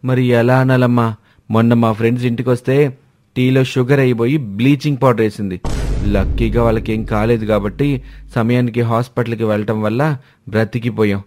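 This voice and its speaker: A man's voice: medium pitch, little pitch movement, brisk, a little mellow, slight background noise, lightly acted. A man voicing a sarcastic grown son who mocks his wife. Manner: sarcastic, mocking.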